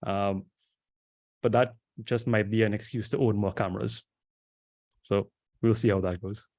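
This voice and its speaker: A dry recording of a man with a fairly low voice. He talks casually as facilitator of a group call, easygoing and informal. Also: steady tone, unhurried, darkish tone, no echo. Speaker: session facilitator.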